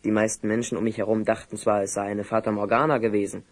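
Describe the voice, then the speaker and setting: A man playing a composed apprentice magician in an audio drama, speaking in a measured, slightly theatrical way. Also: on the low side, level tone, lightly acted. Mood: thoughtful. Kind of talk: German audio drama acting.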